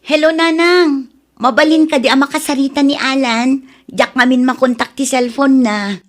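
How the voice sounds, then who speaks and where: A woman voicing a young wife and mother who plans to work abroad, speaking softly and earnestly in an audio drama. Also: high-pitched, fairly even intonation, moderate pace, close to an everyday voice. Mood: polite.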